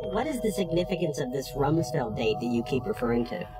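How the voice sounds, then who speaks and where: An interviewer with a mid-pitched voice puts short, probing questions. Clear music plays behind the voice. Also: sing-song, a little fast.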